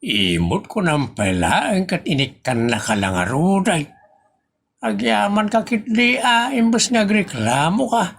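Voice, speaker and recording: An actor with an Ilocano accent playing a reasonable, teasing husband in a comedy audio drama. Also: male voice, high voice, sing-song, unhurried, vocal fry, fairly bright tone, husky, exaggerated voice. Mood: chiding.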